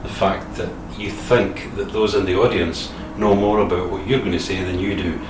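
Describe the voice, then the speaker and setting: Deep-voiced male radio host, distant and echoey, music under him. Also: fairly even intonation, normal speed, noisy background. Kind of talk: radio interview.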